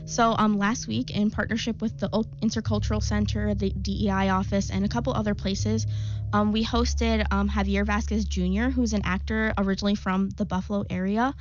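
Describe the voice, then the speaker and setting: A woman's voice: mid-range voice, fairly even intonation, fairly quick, lo-fi sound, a little hiss or hum. A young woman from Long Island, a student club president, answering interview questions in a chatty, enthusiastic way with many fillers. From Long Island, New York. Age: young adult.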